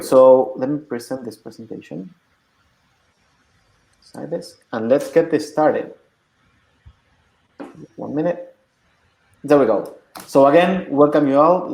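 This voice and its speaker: A man in his thirties from Argentina, a bootcamp instructor presenting a webinar in a relaxed way while setting up his slides. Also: mid-range voice, reverberant. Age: 30s.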